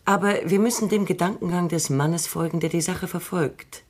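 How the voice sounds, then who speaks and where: Woman doing a low husky robot psychologist, dry and precise, radio play. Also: fairly even intonation, moderate pace, close to an everyday voice. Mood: cool. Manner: dry, precise and coolly logical. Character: cool, sharp-witted female robot psychologist. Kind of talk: German radio play acting.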